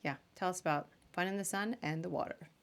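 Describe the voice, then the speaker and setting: Woman podcast host, kinda low voice, chatty casual interview questions. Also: fast talker. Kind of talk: casual interview on a live video show.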